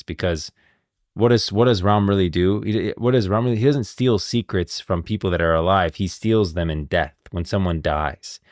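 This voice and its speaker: A man's voice: deep voice, dry recording, studio quality. A man giving casual livestream commentary on game lore, informal and insistent, thinking aloud.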